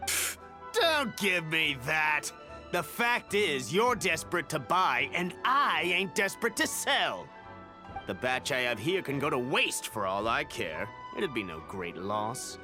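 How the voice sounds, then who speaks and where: Animated, scornful crooked merchant. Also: male voice, a little high, unhurried, faint music behind, exaggerated voice. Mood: smug, scornful. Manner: theatrical, scornful, haggling. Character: indignant, pedantic-hating crooked male merchant, scheming and smug. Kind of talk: video game character acting.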